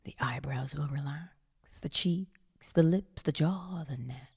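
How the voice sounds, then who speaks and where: A woman leading a guided meditation in a hushed, soothing, breathy and often whispered voice, coaxing gently with long pauses. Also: sits low, dry recording. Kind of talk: slow guided meditation narration, partly whispered.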